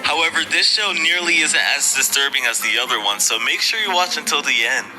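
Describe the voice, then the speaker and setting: A man's voice: on the high side, lively intonation, a little fast, low-quality recording. Earnest man narrating over prominent music. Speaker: video narrator. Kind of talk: scripted countdown narration addressed to viewers.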